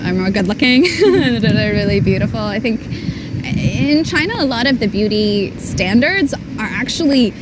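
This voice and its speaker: US-born woman, chatty interviewee, mid pitch, heavy traffic noise behind. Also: lively intonation, normal speed. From United States. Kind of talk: street interview answers. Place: busy city street outdoors. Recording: loud street traffic noise.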